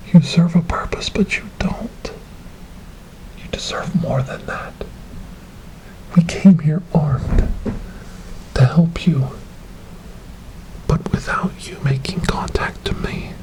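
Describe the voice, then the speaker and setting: A man playing a soothing, eerie asylum helper in a horror ASMR roleplay, coaxing a tormented creature with hushed, repetitive phrases. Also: mid-range voice, close to an everyday voice, rough recording. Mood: pleading, intense.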